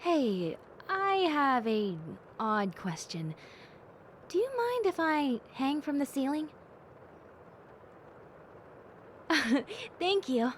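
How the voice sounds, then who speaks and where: A woman voicing a gentle, cheerful young bat girl in a soft, playful and sincere audio roleplay. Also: high-pitched, very animated, slow, slight background noise, pitched above the performer's own voice, exaggerated voice. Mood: playful, content. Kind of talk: audio roleplay addressed to the listener.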